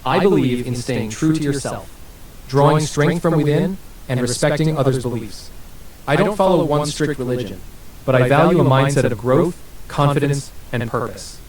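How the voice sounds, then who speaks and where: A synthetic male voice answering as an AI interview guest, smooth and evasive with scripted-sounding replies. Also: medium pitch, normal speed, darkish tone. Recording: roomy and distant with faint hiss.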